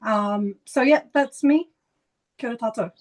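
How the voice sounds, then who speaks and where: A woman's voice: fairly high pitch, a little slow, darkish tone. A woman from Iraq introducing herself as a panelist on a webinar, earnest, with slight stumbles.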